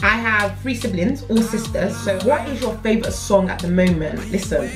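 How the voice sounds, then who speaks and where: A young woman a hint of flavour talks straight to camera in her living room, chatty and playful, leaning into her punchlines. Her voice sits at an everyday middle pitch, and soft music plays faintly underneath. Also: moderate pace. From England. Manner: chatty, playful, animated with hand gestures. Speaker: vlogger. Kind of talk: casual chat to camera. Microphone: camera at desk distance.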